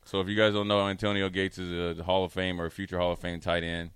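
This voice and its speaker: A man telling casual sports stories as a radio co-host, animated and acting out what people said. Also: deep voice, fast talker, smooth, no room sound, clean studio sound. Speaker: radio show co-host, former pro football player.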